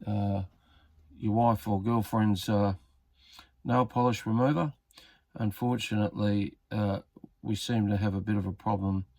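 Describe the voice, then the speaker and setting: Australian male, casual how-to presenter, creaky voice, halting matter-of-fact delivery, close dry sound. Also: lowish voice, darkish tone, dry recording. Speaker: radio repair hobbyist presenter. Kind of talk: casual hands-on tutorial commentary.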